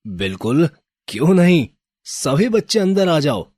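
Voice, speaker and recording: A man playing a kindly, generous toy shop keeper in a children's story, speaking in a gentle, reassuring way. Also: a little high, big pitch swings, unhurried, no room sound, clean studio sound.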